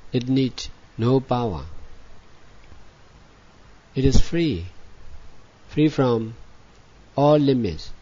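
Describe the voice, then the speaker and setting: Dull lo-fi recording, male meditation teacher, native Burmese speaker, very slow delivery, short repeated phrases, long pauses. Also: medium pitch, warm tone. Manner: measured short phrases with frequent pauses, repetitive. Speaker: Buddhist monk and meditation teacher. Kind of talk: guided meditation, spoken dhamma instruction.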